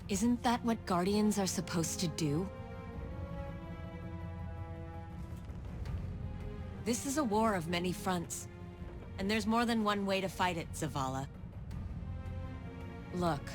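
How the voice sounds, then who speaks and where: An actress playing a determined woman researcher defending her bond with a war machine, earnest and resolute, over loud game music. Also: medium pitch, natural voice. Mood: defiant. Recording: game cutscene with loud score.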